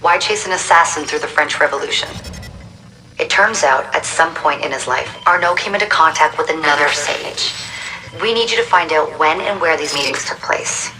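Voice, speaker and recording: A woman's voice: sits low, normal speed, sharp tone. An actress playing a serious, businesslike woman briefing covert agents in a video game. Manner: matter-of-fact, urgent. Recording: distant mic, faint background noise.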